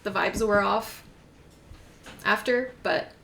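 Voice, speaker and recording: Bright-voiced woman streaming games. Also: mid-range voice, steady tone, slow, gravelly, a little hiss or hum. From Quebec, Canada. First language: French. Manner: chatty and rambling, with frequent "like" fillers. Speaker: game streamer. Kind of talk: casual chat while gaming. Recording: echoey room.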